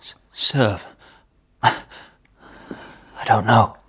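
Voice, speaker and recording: An actor playing a weary captive young knight in a historical radio drama, halting, guarded and terse. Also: male voice, on the low side, fairly bright tone, slightly rough, exaggerated voice. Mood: dazed, wary.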